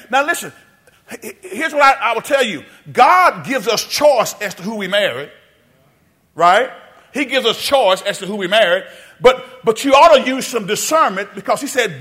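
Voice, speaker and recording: A man's voice: very high voice, bright, forceful tone. A man preaching a sermon in a church. He calls out questions to the congregation and talks to them in a folksy way. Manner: call-and-response preaching, asks the congregation questions; folksy, addresses listeners as "y'all" and "baby". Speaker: pastor.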